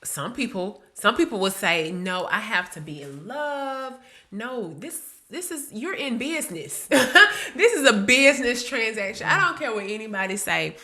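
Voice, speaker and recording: Bright-voiced woman in her thirties, blunt. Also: fairly high pitch, expressive, moderate pace. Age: 30s. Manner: blunt, profane and laughing, drops her voice to an aside. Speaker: podcast co-host. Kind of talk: casual chat.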